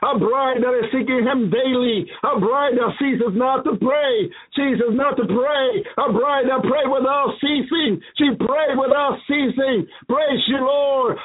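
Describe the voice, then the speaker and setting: High-voiced preacher man on tinny radio. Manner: repetitive, exclaiming hallelujah between phrases. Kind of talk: prophetic sermon.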